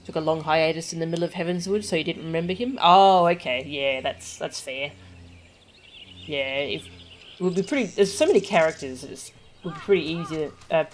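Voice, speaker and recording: A woman's voice: sits low, moderate pace, a little hiss or hum. A woman chatting casually to viewers as she streams a game, in an easygoing, chatty manner.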